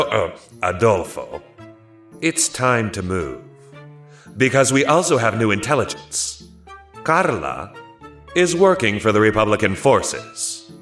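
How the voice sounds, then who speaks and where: Man acting a sneering, slow-talking soldier villain. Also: lowish voice, a bit bright, exaggerated voice. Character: contemptuous, bullying Nationalist soldier, hateful and mocking. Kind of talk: dramatized audio story acting. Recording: roomy echo over background music.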